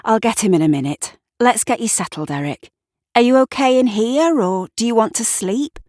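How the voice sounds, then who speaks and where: A narrator plays a kindly neighbour woman in an audio story. She is tentative and soothing, fumbling for gentle words, recorded close and dry. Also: a little high, animated, normal speed, no room sound, pitched above the performer's own voice, natural voice. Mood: brisk, caring.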